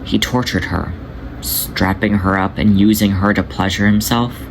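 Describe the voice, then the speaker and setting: A man voicing a cocky male cat who is protective and teasing, in a gentle, affectionate manner. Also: on the low side, fairly even intonation, normal speed, voice pushed down, natural voice. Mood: grave. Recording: noisy home recording.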